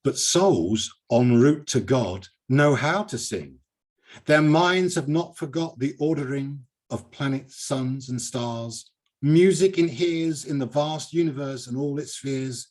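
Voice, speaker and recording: A British man hosting a poetry reading, reciting and commenting in an emphatic, expressive, theatrical manner. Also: medium pitch, takes it slowly. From Britain.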